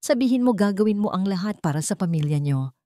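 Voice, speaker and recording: A woman's voice: medium pitch, animated, fast, warm tone, dry recording, lightly acted. A woman voicing a scheming, domineering older mother in an audio story, commanding and cutting. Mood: calculating. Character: scheming, domineering older matriarch, sharp and contemptuous.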